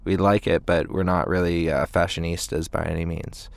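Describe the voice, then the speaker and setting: A man's voice: sits low, flat delivery, moderate pace, gravelly, no room sound. A man, a band frontman, chatting casually as an interview guest in a hesitant, rambling way. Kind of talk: casual chat. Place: inside a parked tour van.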